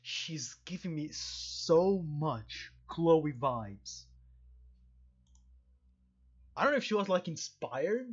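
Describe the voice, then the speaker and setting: A man with a husky voice casually commentating as he streams a game. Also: a little high, sing-song, slow pace, dull audio. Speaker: let's play streamer. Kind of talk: casual game commentary, reading dialogue aloud.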